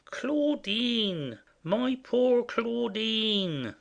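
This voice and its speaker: A man as a foolish servant, high swooping voice, slow delivery, naive, self-satisfied, stage comedy. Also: sing-song, gravelly, fairly bright tone, heavily acted. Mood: smitten, confused. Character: simple, boastful male servant, cheerfully self-satisfied and smitten. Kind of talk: audio play acting of classic French comedy.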